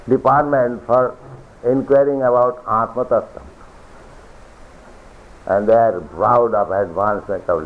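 A man giving a philosophical sermon as a spiritual teacher, lecturing deliberately, repeating phrases and reciting scripture. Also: mid-range voice. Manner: deliberate, repetitive lecturing with chanted scripture quotes. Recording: tinny lo-fi archival recording.

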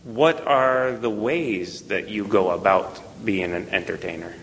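Low, gravelly male interviewer. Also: fairly bright tone, in a large hall, a little hiss or hum, rough recording. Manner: measured, with brief listening affirmations. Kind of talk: casual interview questions.

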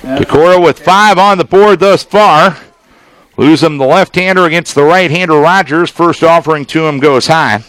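A man doing live baseball play-by-play as a radio announcer, speaking in measured, clipped phrases with deliberate pauses. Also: a little high, fairly bright tone, no room sound, low-quality recording. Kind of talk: live sports play-by-play commentary.

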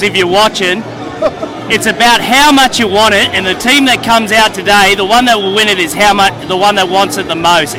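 A man's voice: high voice, poor audio. An older Australian man, a fan talking outdoors in a chatty, storytelling way. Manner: chatty, telling stories. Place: outdoors at a crowded bar. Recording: loud crowd behind.

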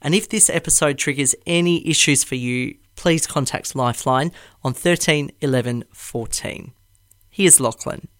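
A man hosting a podcast, reading a scripted intro and then asking gentle, supportive questions. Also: fairly high pitch, normal speed, dry recording, studio quality. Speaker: podcast host.

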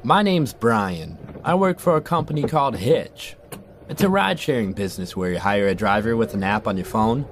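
A wry male ride-share driver, acted by a man, narrates spooky encounters for an audio drama trailer. His voice sits fairly low, and he speaks conversationally.

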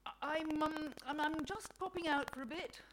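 A woman's voice: high voice, moderate pace, fairly bright tone, pitched above the performer's own voice. A woman voicing a flustered, well-meaning male God in a satirical storybook reading, hesitant and earnest with frequent stammers. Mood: airy. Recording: clearly noisy live recording.

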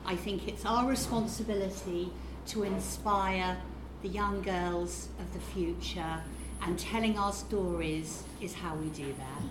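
A woman speaks slowly and earnestly, taking her time over each phrase at a live panel. Her voice rings in an echoey hall with noise in the background. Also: fairly low pitch. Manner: earnest, measured. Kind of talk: short public remarks. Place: event hall with live audience.

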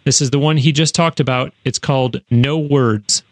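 A man's voice: mid-range voice, steady tone, moderate pace, no room sound. Man hosting a podcast, dry studio sound. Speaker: podcast host. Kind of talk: scripted show sign-off. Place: recording studio. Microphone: close studio microphone.

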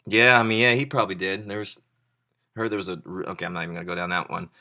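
A young man hosting a show with loud, joking banter and mock-showman flourishes. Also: deep voice, steady tone, quick pace. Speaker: podcast host. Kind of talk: comedic casual chat.

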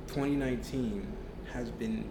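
A man's voice: medium pitch, a little slow, roomy sound, noisy background. A man from Haiti, a martial artist being interviewed, answering thoughtfully and earnestly, often repeating words as he builds his thoughts.

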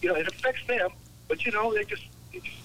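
An American man in his late sixties, calling a radio show, talking politics casually in a halting, repetitive, exasperated way over a telephone line. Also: sits high, pushed and bright. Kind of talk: casual political phone-in chat. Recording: noisy telephone call to radio.

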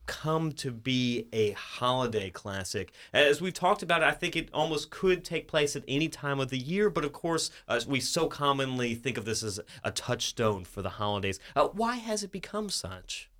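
A man hosting a local radio interview, speaking in a measured, halting way into a studio microphone. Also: medium pitch, animated, fairly bright tone. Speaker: radio host.

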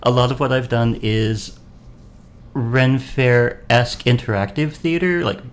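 A man from Maine chatting casually as a podcast guest host, unhurried and rambling with fillers. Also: mid-range voice. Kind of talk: casual chat.

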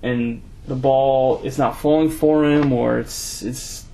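A man co-hosting a casual fantasy football podcast, talking haltingly with many restarts. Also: medium pitch, steady tone, gravelly, mellow, poor audio. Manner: hesitant, many fillers and restarts. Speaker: podcast co-host.

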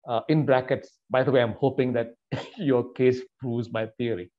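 A man in a middle register hosts an interview podcast. He talks in a measured way, with plenty of ums and uhs. The audio sounds slightly dull and lo-fi. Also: medium pitch, steady tone. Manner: measured, with frequent fillers. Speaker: podcast host.

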